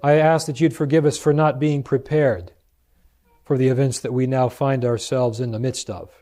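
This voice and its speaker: A man who preaches, praying aloud in a solemn, pleading way with deliberate pauses. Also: mid-range voice, steady tone, warm tone. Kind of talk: earnest spoken prayer.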